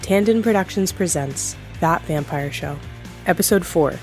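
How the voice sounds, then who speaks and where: A woman's voice: lowish voice, moderate pace, a little mellow, soft background music. A woman announcer reading scripted introductions for an audio drama. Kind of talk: scripted narration, show credits.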